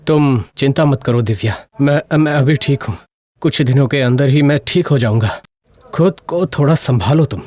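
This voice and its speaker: Affectionate young village husband, medium pitch acted by a man. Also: moderate pace, darkish tone, dry recording, close to an everyday voice. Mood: worried, tender. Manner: tender, earnest. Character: affectionate, easygoing poor young husband who runs a cycle shop. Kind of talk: animated moral story dialogue.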